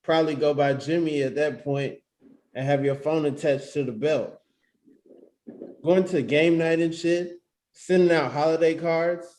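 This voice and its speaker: A man's voice: on the high side, steady tone, unhurried, a little mellow, natural voice. A man acting an upbeat, joking best friend in a screenplay table read. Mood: teasing. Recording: roomy, echoey home recording.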